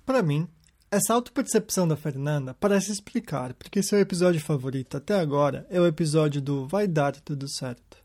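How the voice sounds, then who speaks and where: A man hosting a podcast, telling stories in a calm scripted narration. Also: a little high, fairly quick. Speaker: podcast host. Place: lives in Japan.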